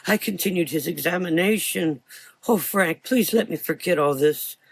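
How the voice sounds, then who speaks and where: A man voicing a frightened, guilt-ridden blind old doctor in a gothic audio drama, anguished and halting as he talks. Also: on the high side, gravelly, breathy edge, no room sound. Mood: haunted, grieving.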